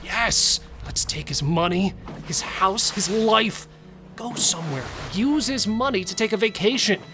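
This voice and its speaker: Man playing a higher-voiced, intense male killer. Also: animated, unhurried, noisy background. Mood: eager, bloodthirsty. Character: cautious male serial killer, wary yet bloodthirsty lover. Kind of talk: audio thriller acting.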